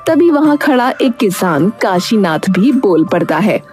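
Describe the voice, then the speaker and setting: A woman's voice: mid-range voice, very animated, unhurried, a little mellow, soft background music. A woman narrator telling a scripted children's-style cartoon story.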